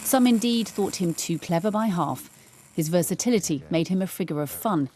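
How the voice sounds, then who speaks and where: A British woman working as a news correspondent, reading a scripted obituary report in a measured manner. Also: fairly low pitch, expressive, warm tone, slight background noise.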